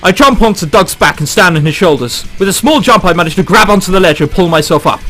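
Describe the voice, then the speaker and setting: A man's voice: high-pitched, lively intonation, fast talker, pushed and bright. A man reading a visual novel aloud for a let's play, breaking off into incredulous, sweary commentary. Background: game background music.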